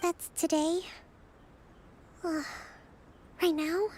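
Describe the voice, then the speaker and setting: An actress playing a gentle, dutiful young leader in a video game, polite and formal with a quiet weariness. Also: female voice, very high voice, level tone, extremely slow, a little hiss or hum, heavily acted. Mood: weary, restrained. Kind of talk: English video game voice acting. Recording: music behind the voice.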